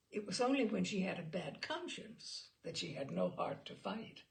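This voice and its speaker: Woman reading an old humorous story, really animated pitch, bit of creak in the voice. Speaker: storyteller reading aloud to an audience. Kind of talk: literary storytelling with informal introduction.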